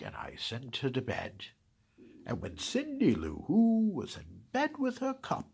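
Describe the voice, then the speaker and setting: Guy doing a gravelly grumpy christmas-hating creature, storybook style, slow and sighing, whispers a lot. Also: medium pitch, exaggerated voice. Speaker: reader. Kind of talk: dramatic storybook reading.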